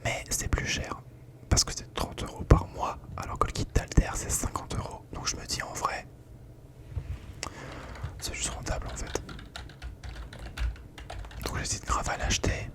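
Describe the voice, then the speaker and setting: A man's voice: unhurried, pauses often. Chatty whispering young man, ASMR ramble. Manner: whispered throughout, chatty, slangy, rambling with many fillers. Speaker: ASMR creator. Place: at home.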